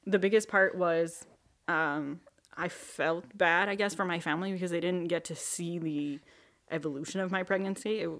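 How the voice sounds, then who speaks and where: Young woman talking through her pregnancy, lots of um and like, lowish voice. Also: steady tone, normal speed, fairly bright tone. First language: not French. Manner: conversational, many fillers and restarts. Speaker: interviewee, new mother. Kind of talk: personal testimony interview.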